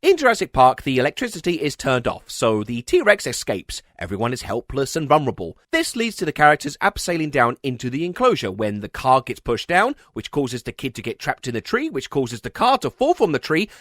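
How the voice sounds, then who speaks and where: A man's voice: medium pitch, huge pitch swings, a little fast, hardly any pauses, fairly bright tone, no room sound, clean studio sound. A man delivering a scripted comedic film critique as a voice-over, sarcastic, emphatic, exasperated and sweary. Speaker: video essayist, film reviewer.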